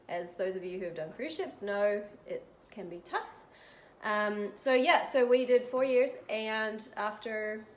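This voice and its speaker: A woman with a New Zealand accent talks casually as a guest answering questions. Her pitch is average, and the recording has a clearly roomy echo. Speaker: interviewed guest, dancer. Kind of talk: casual chat.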